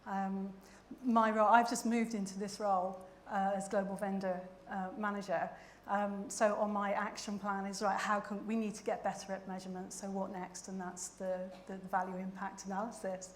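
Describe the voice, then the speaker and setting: A woman's voice: mid-range voice, level tone, moderate pace, echoey room, slight background noise. A woman speaking as a manager on a conference panel, hesitant with many fillers. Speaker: global vendor manager. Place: conference hall.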